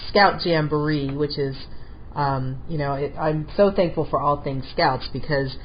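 A middle-aged woman hosts a casual chat, her voice stuffy from a head cold. She sits very low for a woman and tends to repeat words as she talks. The audio is a bit dull and lo-fi, with a faint hiss underneath. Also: fairly even intonation, measured pace. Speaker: podcast host. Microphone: call over phone or Skype.

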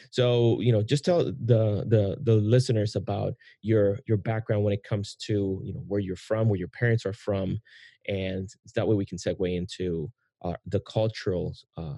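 A man's voice: lowish voice, gravelly, warm tone, no room sound. A man hosting a podcast, chatting casually with a guest, often hesitating with fillers and restarts. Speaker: podcast host.

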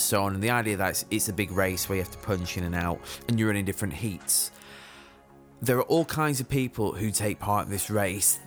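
A young man hosting a podcast, chatting casually with a jokey, self-mocking energy. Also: fairly low pitch. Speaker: podcast host.

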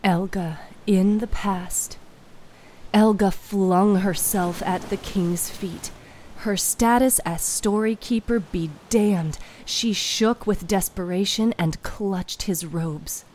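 A woman narrating a fiction podcast in a measured, suspenseful, dramatic storytelling style. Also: mid-range voice, darkish tone, poor audio.

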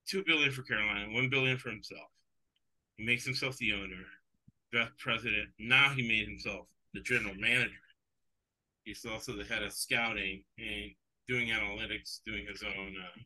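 A man's voice: fairly low pitch, pushed and bright, poor audio. A man, English his second language, hosting a sports betting podcast in casual, rambling chat. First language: not English. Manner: rambling, digressive, chuckles often.

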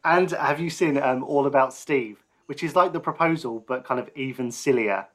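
A man hosting a podcast interview in a relaxed, friendly conversational style. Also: mid-range voice, normal speed. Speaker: podcast host. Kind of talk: casual interview chat.

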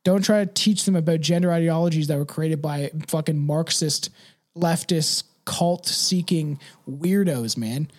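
A man's voice: fairly high pitch, unhurried. A man hosting a podcast in casual, opinionated chat, speaking bluntly and emphatically, swearing freely and tagging phrases with "right" and "man". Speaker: podcast host.

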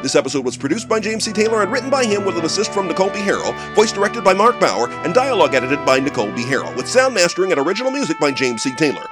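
A man's voice: high-pitched, sing-song, rapid-fire, few pauses, over loud music. A man narrating scripted credits for an audio drama in an announcer-like manner.

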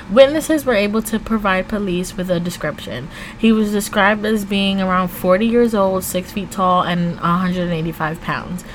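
A woman hosting a true crime podcast, switching between scripted storytelling and slangy, informal, animated asides. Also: mid-range voice, slight background noise, poor audio.

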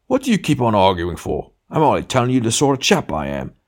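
A man's voice: mid-range voice, huge pitch swings, quick pace, voice pushed up. A man voicing a big, sulky working-class male ghost in an audiobook, self-righteous and argumentative, full of blustering grievance. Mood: aggrieved. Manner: blustering, argumentative, punctuating with demands. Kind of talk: literary audiobook character dialogue.

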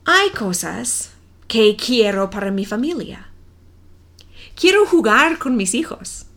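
A woman in her forties with a non-native accent, reading a simple story slowly and carefully for language learners. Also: a little high, sing-song, a bit bright. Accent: non-native Spanish accent. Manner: careful, deliberate reading with clear pauses. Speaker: Spanish teacher.

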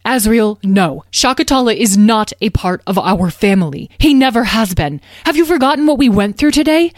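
A woman's voice: medium pitch, moderate pace, mellow, no echo, clean studio sound, pitched above the performer's own voice, lightly acted. A woman voicing an anxious, protective mother dragon in a storybook, stern and pleading, with urgent, emphatic delivery. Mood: panicky, pleading.

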